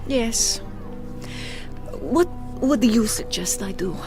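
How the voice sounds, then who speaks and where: A woman's voice: a little high, lively intonation, slow, vocal fry, heavily acted. An actress playing a tense, proud mother who is uneasy about her son, in an audio drama. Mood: guarded, uneasy. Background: background music.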